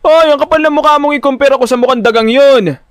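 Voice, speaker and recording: A man's voice: very high voice, fast, no room sound, voice pushed up. A man playing a dramatic, exasperated and easily frightened older brother in an audio drama. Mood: indignant.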